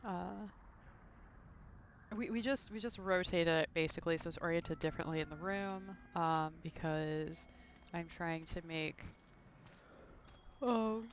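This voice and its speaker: A woman's voice: lowish voice, unhurried, long pauses, muffled recording, slight background noise. A woman chatting casually and thinking aloud while streaming a video game from her room at home. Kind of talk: casual live gameplay chat.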